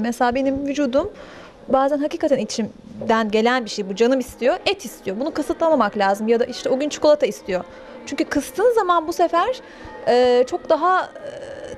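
A woman in her thirties answering interview questions in a cafe into a handheld microphone, chatty and explaining at length. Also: sits high. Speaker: interviewee, actress. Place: pink-walled cafe indoors. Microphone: handheld interviewer mic.

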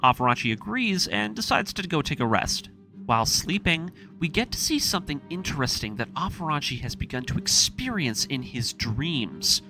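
A man's voice: on the high side, big pitch swings, normal speed. A man hosting a scripted story breakdown, speaking in an informal, opinionated and emphatic way with casual asides. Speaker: video essay host. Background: background music.

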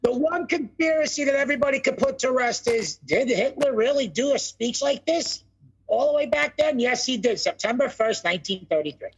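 Raspy young man on a phone mic. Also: very high voice, lively intonation, fairly quick, highs cut off. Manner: loud, joking, cursing freely. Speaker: podcast co-host. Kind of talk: casual chat. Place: home room on video call. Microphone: phone mic, no headset.

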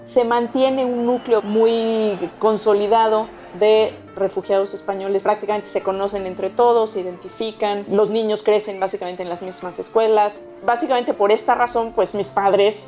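A woman's voice: mid-range voice, fairly even intonation, moderate pace, few pauses, highs cut off, soft background music. A woman from Mexico, a biologist interviewed in a documentary, speaking composed and matter-of-fact.

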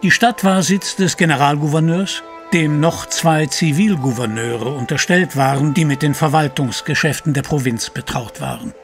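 Slightly high-voiced male storyteller. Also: normal speed, few pauses. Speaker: narrator. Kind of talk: scripted audio drama narration. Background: music.

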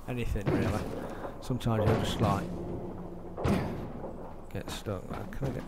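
A man's voice: on the low side, slow, very noisy. A man giving casual gameplay commentary, muttering and thinking aloud as he plays. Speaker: gamer narrating own gameplay. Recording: game music loud behind voice. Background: video game music and sound effects.

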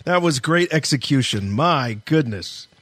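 Male co-host, husky, unhurried, highly animated casual chat. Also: no echo. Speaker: podcast co-host.

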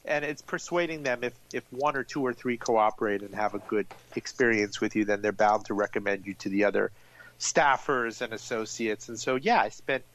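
A man's voice: on the low side, normal speed, low-quality recording. A man in his forties, an author and journalist who grew up in Miami, telling his story fluently and with energy as an interview guest. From grew up in Miami, born in Iran. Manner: fluent, animated storytelling with restarts.

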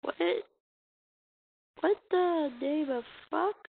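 High-pitched woman, halting, muffled recording. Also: vocal fry. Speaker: amateur comic dub voice actor. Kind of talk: amateur dramatic comic reading, acting out dialogue. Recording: muffled lo-fi mic with hiss.

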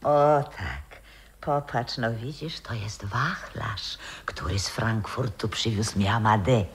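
An actress in a 1960s radio play playing a wistful, proud old grandmother who was once an opera singer, reminiscing in a halting, theatrical way. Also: extremely low pitch, slight background noise. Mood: tender, nostalgic.

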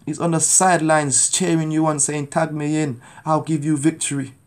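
A man's voice: fairly high pitch, level tone, moderate pace. A man in his thirties from Jamaica, preaching his testimony to a phone camera in a small room at home, urgent and pleading. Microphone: phone camera at arm's length.

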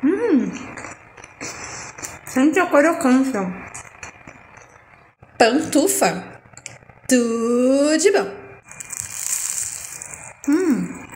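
A woman's voice: sits high, sing-song, extremely slow, pauses often, darkish tone, slight background noise, rough recording. A middle-aged woman from Brazil chatting playfully to her phone camera in a home kitchen, narrating her food and coining cute diminutive words. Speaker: vlogger. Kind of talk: casual food vlog narration.

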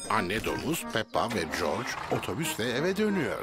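A man's voice: lowish voice, wildly swooping pitch, moderate pace, loud music behind the voice. A man narrating a young children's cartoon as its storyteller. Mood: storytelling.

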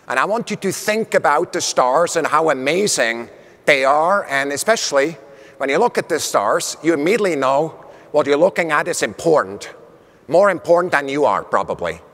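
Swiss-accented man giving a keynote, gravelly. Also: a little high, moderate pace. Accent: Swiss German. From Switzerland. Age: middle-aged. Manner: animated, gesturing while speaking on stage. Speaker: keynote speaker. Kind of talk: conference keynote talk. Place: large conference hall stage. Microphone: lapel mic. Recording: long hall reverb.

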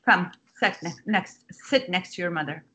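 A woman acting a nervous, doting, pushy widowed mother in a screenplay read, with a husky voice. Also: lowish voice, animated, moderate pace, noisy background, natural voice. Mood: nervous, tender. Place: indoors on a group video call. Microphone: video call.

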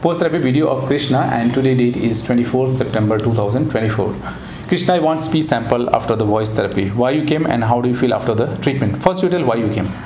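A man's voice: mid-range voice, quick pace, few pauses, a little mellow, faint music behind. A middle-aged man with an Indian accent, a speech therapist conducting a formal patient interview. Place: small indoor clinic studio. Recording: echoey room with background noise.

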